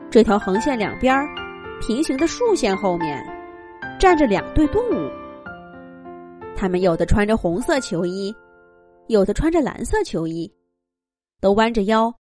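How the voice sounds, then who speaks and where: A woman telling a children's story with gentle, expressive narration. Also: mid-range voice.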